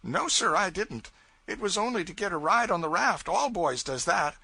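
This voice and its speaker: A frightened young boy caught stowing away pleads politely, his voice pitched up and lively, as a man puts him on in a storybook reading. The tone is bright and a touch husky, and the recording is close and dry. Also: moderate pace, no echo, full character voice. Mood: pleading. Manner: timid, polite, eager to please.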